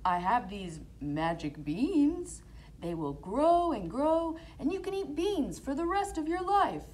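Woman as a very slow, wily old man. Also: sits high, sing-song, a little mellow, voice pushed up, heavily acted. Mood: persuasive. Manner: slow, drawn-out, persuasive delivery. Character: wily old man peddling magic beans. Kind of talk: children's fairy tale storytelling.

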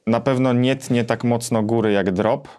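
A man's voice: mid-range voice, fairly even intonation, normal speed, a little mellow, smooth. A man in his thirties co-hosting a gear show, casually explaining and demonstrating in a small studio. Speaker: co-host of a guitar gear review show. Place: small indoor home studio.

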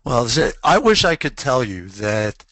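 A man's voice: medium pitch, sing-song, a little slow, a bit bright, no room sound. Dry-sounding earnest man explaining compliance. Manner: earnest, explanatory, with rhetorical questions. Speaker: compliance attorney presenter. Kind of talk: webinar Q&A answer.

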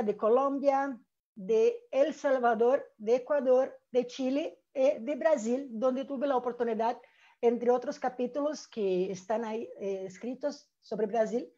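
A middle-aged woman with a Brazilian accent, a native Portuguese speaker, presenting academically and haltingly on a dull-sounding video call. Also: on the high side, mellow. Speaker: academic panelist, researcher. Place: room with bookshelves indoors. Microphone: earphone mic on video call.

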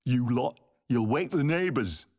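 A man voicing a brisk, cheeky, commanding time traveller in an audio drama, emphatic and teasing. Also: medium pitch, lively intonation, darkish tone, lightly acted. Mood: irritated, wry.

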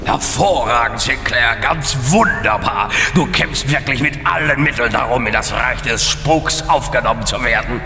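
A man's voice: moderate pace, few pauses, natural voice. An actor playing a cruel, mocking demon lord in a horror radio drama, theatrical and sneering in his courtesy. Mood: mock praise. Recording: long booming reverb, music underneath.

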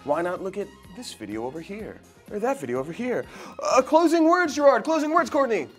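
A young man hosts a jokey game show with an animated, swinging delivery. He slips between playful drama and deadpan asides, with faint music behind him. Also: sits high, a little fast, slightly rough. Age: young adult. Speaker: quiz show host. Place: indoor studio set.

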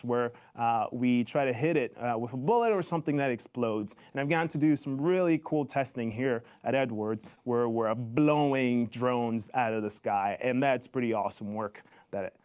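A man in his thirties with a mid-pitched voice gives an explanatory talk to young students over a video call. He breaks ideas into simple steps, often asking a question and then answering it himself. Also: normal speed, few pauses, a little mellow, clean tone. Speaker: Air Force flight test presenter. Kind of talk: explanatory live online talk for schoolchildren. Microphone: video call microphone.

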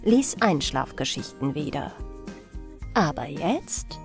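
A woman narrating a bedtime fairy tale in a gentle, soothing, expressive storytelling manner. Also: low-pitched, slow, creaky, music in the background. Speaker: audiobook narrator.

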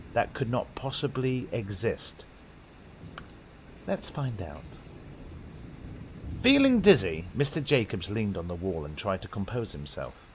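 A man's voice: fairly low pitch, a little hiss or hum, rough recording. A young British man hosting and narrating a horror tale with dramatic, suspenseful, old-radio theatrical delivery. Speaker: horror story host and narrator.

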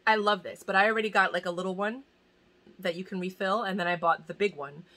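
Chatty bright-voiced woman vlogger. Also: medium pitch, steady tone, fast. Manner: chatty and enthusiastic, rambling with restarts, gushing over items. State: in pain. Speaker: fashion vlogger hosting a giveaway. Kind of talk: casual chat to camera. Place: small home room crowded with bags and boxes, indoors. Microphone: camera at desk distance.

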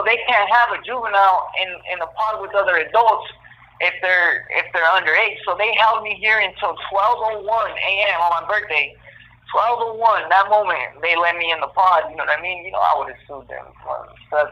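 A man's voice: high-pitched, normal speed. A young man telling his own story from jail in casual street slang, repeating phrases and saying "you know" often, over a dull phone line. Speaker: incarcerated storyteller. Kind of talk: casual first-person storytelling.

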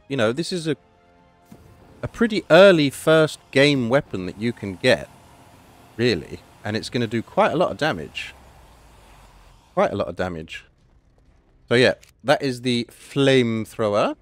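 A man with a hoarse voice, casually talking through a game update and thinking aloud as he plays. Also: medium pitch, no room sound. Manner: chatty, thinking aloud, self-correcting. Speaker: gaming channel host. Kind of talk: casual gameplay commentary.

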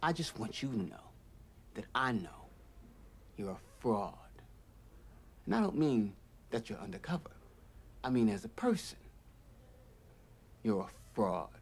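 A man's voice: medium pitch, very animated, a little slow, creaky, pauses often, slightly rough, exaggerated voice. An actor playing a sly male prison inmate who mocks and rambles, swearing as he talks. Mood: hushed scornful. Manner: mocking, rambling, profane. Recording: noisy background.

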